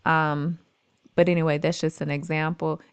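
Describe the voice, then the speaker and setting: Low, smooth-voiced woman presenting. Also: little pitch movement, moderate pace, dry recording. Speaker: YouTube host presenting remote job listings. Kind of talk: informal screen-share tutorial narration.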